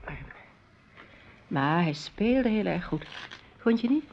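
An actress playing a doctor's guarded, quietly reproachful wife in a radio play, terse and understated. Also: female voice, medium pitch, lively intonation, takes it slowly, a little hiss or hum. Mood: guarded, attentive. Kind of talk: Dutch radio play dialogue. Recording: dull lo-fi radio play recording.

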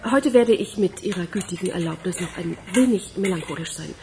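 An actress playing a melancholy, evasive sanatorium patient in a German radio crime drama, wry and reassuring. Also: female voice, fairly low pitch, a little fast, vocal fry, noisy background.

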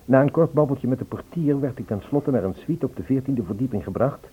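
A man's voice: medium pitch, expressive, fairly quick, husky. An actor playing an earnest, lovesick man searching for a woman in an old Dutch radio play. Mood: wistful narration. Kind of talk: Dutch radio play, acting and first-person narration. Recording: tinny phone-like old radio recording.

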